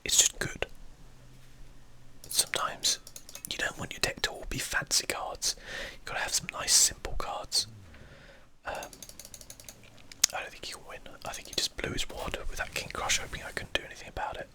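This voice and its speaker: A game streamer gives ASMR commentary in a hushed, breathy whisper. The sound is very bright and airy, close to the mic. The delivery is casual and self-deprecating, with mild swearing. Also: moderate pace. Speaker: gaming channel host.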